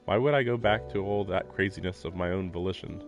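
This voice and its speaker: A man's voice: sits low, a little fast, muffled recording. A man reading a visual novel aloud as a streamer, dropping in casual, wry asides. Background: music.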